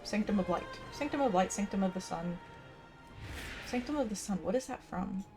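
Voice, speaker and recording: A woman's voice: medium pitch, lively intonation, normal speed. A woman hosting a casual game playthrough, chatting and reading quest text aloud. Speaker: gaming video host. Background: game music.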